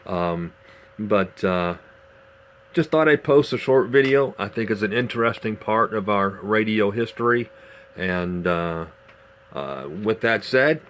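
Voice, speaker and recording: A man giving a casual, unscripted show-and-tell demonstration in a measured, explanatory way, pausing as he goes. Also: lowish voice, a bit bright. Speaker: vintage radio collector presenting a demonstration. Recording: clearly noisy amateur home video.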